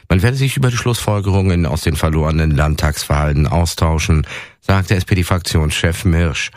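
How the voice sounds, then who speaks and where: A man with a very low voice reads the hourly news in a measured, formal tone. The studio sound is dry and close, with no room around it. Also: darkish tone, dry recording. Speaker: radio newsreader. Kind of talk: read news bulletin. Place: radio studio.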